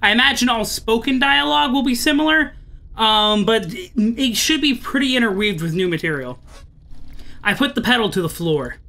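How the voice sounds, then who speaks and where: Bright, high-voiced man narrating a game. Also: lively intonation, moderate pace, gravelly. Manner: chatty, amused, wry asides. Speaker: let's play host. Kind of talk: visual novel playthrough with read-aloud narration and casual commentary.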